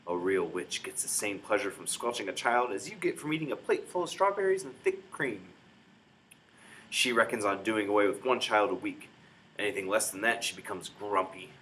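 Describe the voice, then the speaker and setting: A man's voice: on the low side, expressive, normal speed, a bit bright, low-quality recording. A man reading a children's book aloud in a dramatic, emphatic storytelling manner. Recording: clear background noise, room ambience.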